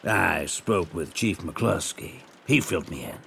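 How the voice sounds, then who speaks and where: Hard-boiled noir private eye, very gravelly, low-pitched, terse and weary. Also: male voice, expressive, a little slow, fairly bright tone, a little hiss or hum, heavily acted. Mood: businesslike. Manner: terse, curt. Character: gruff, world-weary male noir private detective. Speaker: detective. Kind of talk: scripted noir audio drama acting.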